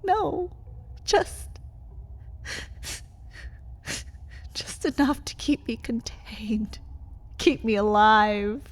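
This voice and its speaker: A woman's voice: a little high, lively intonation, takes it slowly. A woman playing a desperate, bitter vampire captive pleading with a lover in a dramatic ASMR roleplay. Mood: desperate, indignant. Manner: dramatic, swinging between breathy whispers and pleading outbursts.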